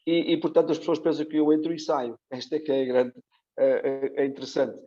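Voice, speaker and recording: A man, a football coach answering interview questions in a halting, repetitive way, on a slightly lo-fi connection. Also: on the high side, little pitch movement, dark tone. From Portugal, long settled in South Africa.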